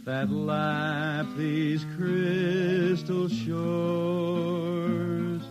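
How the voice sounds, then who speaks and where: Dreamy country-bred male space drifter, highish voice, Southern hill drawl, old radio drama with music. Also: flat delivery. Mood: solemn reciting. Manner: folksy, halting, dreamy. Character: wistful blind spaceman poet, Ozark country-bred drifter.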